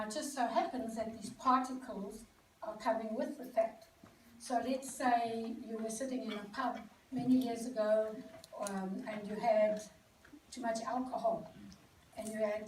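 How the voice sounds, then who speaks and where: A South African woman with a slightly high voice talks informally to an audience, explaining as she goes and often pausing on "um". She is picked up from well off the mic in an echoey indoor venue, with noticeable noise around her. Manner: conversational, explaining with many ums and self-corrections. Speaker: speaker at a celebration event. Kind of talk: informal talk to a live audience. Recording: echoey room, mic far off, noisy.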